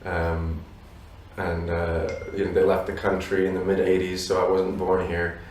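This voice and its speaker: Brief: man, 30s, deep and even, reflective musician guest, roomy and off-mic. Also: moderate pace, slight background noise. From abroad, Polish parents. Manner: reflective, many "you know" fillers. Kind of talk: relaxed interview answers. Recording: roomy echo from a distance.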